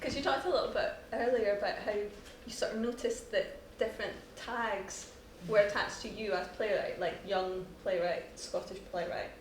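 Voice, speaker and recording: A woman interviewing a guest in a post-show discussion, asking her questions slowly with many fillers. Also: medium pitch, fairly even intonation. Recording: echoey room, distant mic, noisy.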